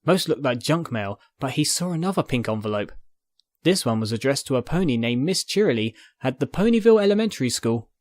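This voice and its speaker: A man's voice: mid-range voice, lively intonation, brisk, no room sound. A man reading fiction aloud as narrator, in scripted dramatic storytelling style. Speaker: narrator, fan fiction reader.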